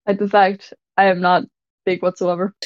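A woman hosting a casual podcast in a fairly deep voice, rambling and stumbling over her words a little. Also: little pitch movement, normal speed, no room sound. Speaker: podcast host. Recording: dull lo-fi dry audio.

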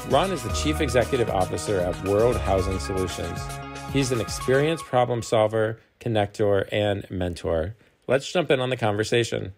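A man reading a scripted podcast introduction in an even, polished way. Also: lowish voice. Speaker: podcast announcer. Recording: music bed behind the voice.

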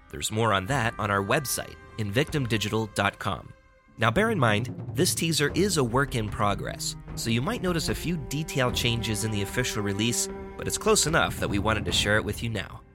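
Low-voiced man introducing over music. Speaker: producer and director. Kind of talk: scripted introduction.

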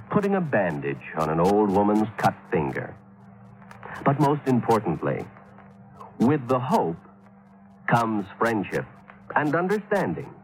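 A man announces on vintage radio with earnest formality. His voice sits fairly low and moves expressively through measured, scripted phrases. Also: rough recording. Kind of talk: scripted public service narration.

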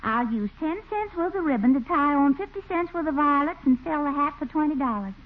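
Southern belle for vintage radio sitcom: fluttery, flirtatious, very animated high voice, narrow tinny sound. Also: female voice, a little fast. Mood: proud, chatty. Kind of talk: 1940s radio comedy acting. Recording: tinny 1940s radio recording.

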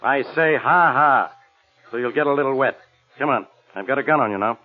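A man playing a gruff, impatient police inspector in 1940s radio detective style, sarcastic and given to curt orders. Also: medium pitch, brisk, soft background music, natural voice. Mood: sarcastic, bossy. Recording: tinny, muffled old-time radio recording.

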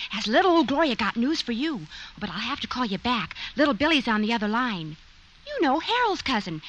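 A woman's voice: high voice, bright, forceful tone, exaggerated voice, rough recording. A woman playing a chirpy, gossipy switchboard operator with a cutesy manner in a 1950s radio comedy.

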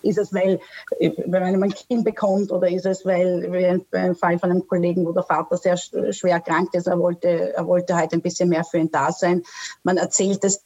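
A woman's voice: fairly low pitch, level tone, normal speed, warm tone, slightly rough, highs cut off. A woman from Australia, a guest in a casual interview, talking hesitantly with many fillers and restarts.

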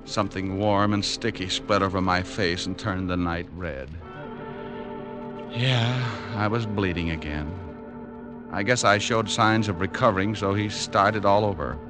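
An American actor playing a wry, tough private detective in a 1950s radio drama, with laconic hardboiled narration. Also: male voice, lowish voice, fairly even intonation, a little slow, music in the background, lightly acted. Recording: vintage radio drama recording.

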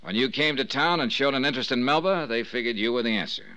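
A man's voice: medium pitch, quick pace, pushed and bright, natural voice, poor audio. An American man playing a sardonic, hard-boiled insurance investigator who needles and probes, in 1950s radio drama style. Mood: cool, needling. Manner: sardonic, probing. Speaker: lead actor of a detective series.

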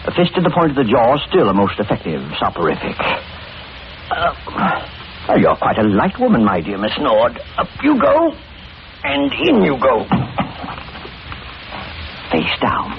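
Sinister gleeful killer, oily fussy politeness, hugely animated pitch, repetitive muttering, 1940s radio horror, muffled noisy recording. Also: male voice, measured pace, gravelly, pauses often, exaggerated voice. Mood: sinister, musing. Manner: oily, muttering, repeats himself eagerly. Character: creepy, gleeful murderer posing as a fussy gentleman.

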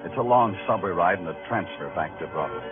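An American actor playing a world-weary, wry New York police detective in a 1950s radio crime drama, talking in clipped, moody noir style. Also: male voice, low-pitched, expressive, quick pace. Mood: brooding, wry. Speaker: narrator and lead actor. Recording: tinny old radio broadcast, music behind.

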